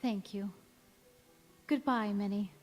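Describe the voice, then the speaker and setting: Woman playing slow, anguished wife in echoey hall. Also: mid-range voice, fairly even intonation, a little mellow, lightly acted. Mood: desperate, resolute. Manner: slow, earnest, melodramatic. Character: anguished, devoted young-looking wife cursed never to age. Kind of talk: stage radio-play acting. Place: large reverberant church or hall. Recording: echoey hall, music behind.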